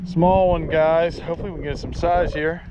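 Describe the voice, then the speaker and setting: A chatty man talks his way through a night of pier fishing. He sounds excited and a touch high-pitched. Outdoor noise hisses clearly behind him on the camera mic. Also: a little fast, highs cut off. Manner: excited, chatty, swears mildly. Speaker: fishing vlogger. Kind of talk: casual narration while fishing. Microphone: body-mounted action camera. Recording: noisy outdoor camera audio.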